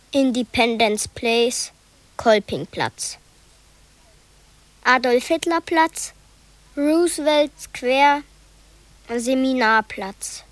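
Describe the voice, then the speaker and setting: A woman reading documents and street names aloud in a measured, deliberate way. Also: high-pitched, no room sound. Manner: slow, deliberate listing. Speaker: narrator.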